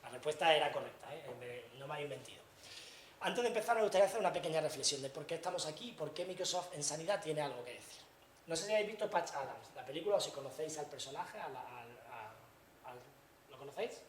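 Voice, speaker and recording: A man's voice: fairly high pitch, animated, quick pace, roomy sound, slight background noise. Man from Granada giving a chatty talk. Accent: Andalusian Spanish. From Granada, Spain. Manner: chatty, asks the audience questions, frequent tag questions. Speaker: invited speaker. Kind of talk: conference talk to students. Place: university lecture hall.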